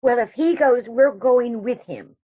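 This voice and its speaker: A woman's voice: on the high side, a little slow, husky. A woman playing a firm, matter-of-fact elderly aunt in a radio comedy, with thin, narrow sound. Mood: defiant. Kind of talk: stage comedy acting for radio theatre.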